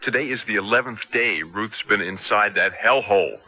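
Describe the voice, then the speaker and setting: A man's voice: fairly low pitch, moderate pace, pushed and bright. An actor playing an earnest, troubled leading man in a radio drama, intense and clipped. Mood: anguished, determined. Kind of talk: 1970s radio drama acting. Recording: noisy vintage radio recording.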